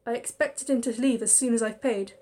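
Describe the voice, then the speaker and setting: Narrator: woman, fairly high voice, expressive and chatty for a spooky story. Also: a little fast, a little hiss or hum. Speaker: reader and narrator. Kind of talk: narrating a visual novel with inner monologue.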